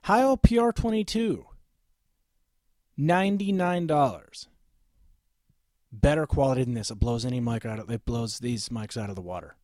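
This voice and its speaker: A man's voice: medium pitch, normal speed, pauses often, darkish tone, no room sound. A man reviewing microphones in a small home studio, talking in an emphatic, lecturing, self-assured way while he switches between mics. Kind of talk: opinionated gear review to camera. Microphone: studio microphone, switched during the video.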